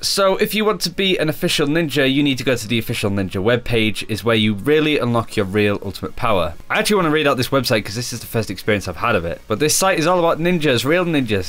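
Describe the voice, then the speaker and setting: A man's voice: medium pitch, fairly bright tone. A man hosting a gaming video with casual, informal, conversational commentary. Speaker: gaming channel host. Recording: music behind the voice. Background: faint background music.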